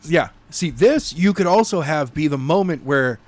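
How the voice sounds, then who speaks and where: A man with a slightly high-pitched voice talks casually as a podcast co-host. He discusses a story in an animated, conversational way, like someone retelling it to a friend. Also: normal speed, no room sound.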